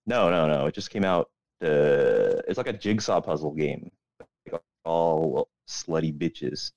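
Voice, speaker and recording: A man's voice: a bass voice, expressive, measured pace, vocal fry, a little mellow, no room sound, highs cut off. A young man co-hosting a podcast in casual, rambling chat full of profanity and slang. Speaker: podcast co-host.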